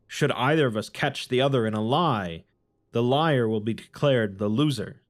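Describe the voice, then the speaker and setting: A man's voice: mid-range voice, normal speed, close to an everyday voice. A man voicing a cunning, name-stealing fae creature who speaks in formal, taunting phrases. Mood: cold, taunting.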